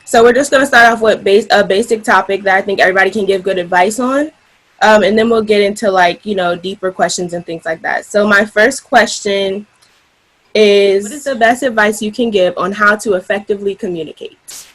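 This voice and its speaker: A woman in her twenties hosting a group discussion, friendly and relaxed, laughing lightly between her words. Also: medium pitch, a little fast, rough recording. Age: 20s. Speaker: discussion host. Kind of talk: casual hosting.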